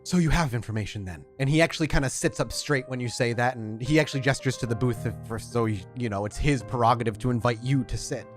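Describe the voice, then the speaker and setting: A man serves as game master, narrating scenes in a relaxed, conversational way at a middle pitch. Soft music plays faintly behind him. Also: fast talker, hardly any pauses. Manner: descriptive, conversational storytelling.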